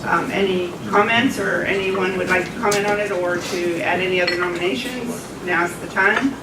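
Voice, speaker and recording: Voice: mid-range voice, fairly even intonation, normal speed, over a noisy crowd. A club officer of mid-range voice chairing a meeting and informally running through procedure. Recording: very noisy echoey room, distant mic.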